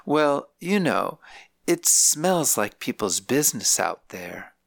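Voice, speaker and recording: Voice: mid-range voice, sing-song, slow, gravelly, no room sound, studio quality, voice pushed up, exaggerated voice. A narrator voicing a frail elderly grandmother in a nursing home, speaking in a plaintive, complaining way. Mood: weary complaint. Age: older. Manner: plaintive, soft-spoken complaining.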